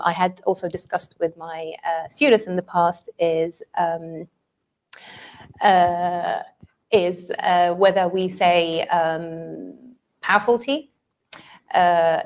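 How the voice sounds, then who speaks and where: A woman from Syria, Arabic her first language, speaks English in a lowish voice. She explains carefully, often stopping on uh and um. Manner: thoughtful, hesitant, many uh and um pauses. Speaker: English teacher trainer. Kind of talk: explaining in a live online session.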